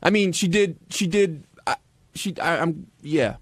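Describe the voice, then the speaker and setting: A man in casual radio studio conversation, answering questions in a halting, defensive way with repeated restarts. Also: on the high side, no room sound. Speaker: radio show regular, interviewed guest.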